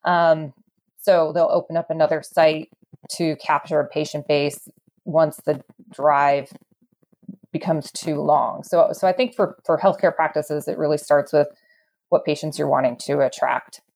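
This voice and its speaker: Low-voiced woman explaining, halting and thoughtful. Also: fairly even intonation, darkish tone, poor audio. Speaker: real estate expert guest. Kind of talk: conversational interview explanation.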